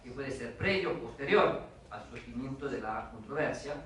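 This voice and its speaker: A man with a foreign accent gives an academic lecture, pausing often with fillers and restarting phrases. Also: mid-range voice, animated, a bit bright, a little hiss or hum. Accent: foreign accent in Spanish. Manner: hesitant, many fillers and restarts. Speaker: guest lecturer. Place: lecture hall. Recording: echoey hall, mic from a distance.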